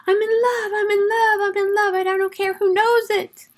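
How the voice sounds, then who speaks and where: An exuberant, childlike male elf from a Christmas storybook, acted out by a woman. The voice sits high and exclaims everything with earnest delight. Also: level tone, normal speed. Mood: giddy, lovestruck.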